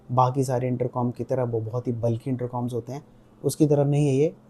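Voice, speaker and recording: A man's voice: mid-range voice, dark tone. A man with an Indian accent giving a casual product review, explaining step by step and mixing Hindi with English. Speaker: motorcycle gear reviewer.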